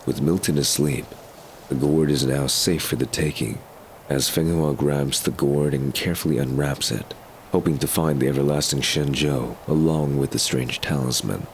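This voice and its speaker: Extremely deep-voiced male narrator. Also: fairly even intonation, normal speed. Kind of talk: scripted audio drama narration. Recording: clear background ambience noise.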